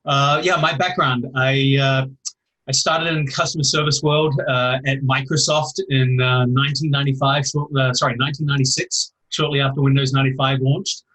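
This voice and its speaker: Man, middle-aged, New Zealand accent, podcast guest, halting, many fillers, middle pitch. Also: level tone, bright, forceful tone, rough recording. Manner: halting, many "uh" and self-corrections. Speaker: guest, customer service executive. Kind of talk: casual interview answer.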